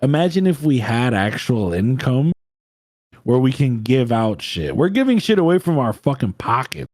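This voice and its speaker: A man's voice: medium pitch, sing-song, moderate pace, a little mellow, no echo, studio quality. A man in his twenties co-hosting a podcast, ranting in exasperation and swearing a lot. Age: 20s. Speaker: podcast co-host. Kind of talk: profane casual podcast banter.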